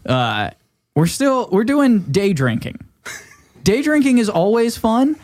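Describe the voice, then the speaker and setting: A man's voice: high-pitched, wildly swooping pitch, takes it slowly, a little mellow. A man who is a comedian and podcast guest, chatting casually and telling humorous stories.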